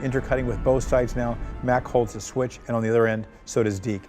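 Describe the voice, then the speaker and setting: A man's voice: mid-range voice, steady tone, fairly quick, over music. A man reading screenplay directions aloud as narrator at a table read.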